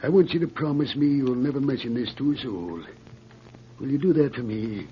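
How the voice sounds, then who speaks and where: An actor playing a folksy, grateful old prospector with rustic, hesitant speech in a radio drama. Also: male voice, mid-range voice, normal speed, close to an everyday voice. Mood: grateful, sheepish. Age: older. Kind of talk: 1940s radio acting. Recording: noisy, muffled old radio recording.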